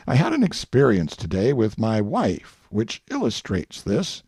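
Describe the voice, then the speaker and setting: A man reading as a wry, self-satisfied humorist giving an after-dinner speech, droll and deliberate, with oratorical pauses and a gravelly voice. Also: medium pitch, mellow, no echo, studio quality, full character voice.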